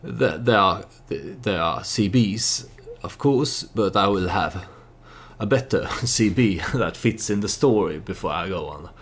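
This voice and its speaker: A man's voice: low voice, lively intonation, a little slow, slightly rough. A man giving casual, storytelling commentary on a game he plays, hesitant and thinking aloud as he goes. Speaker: let's play host and storyteller.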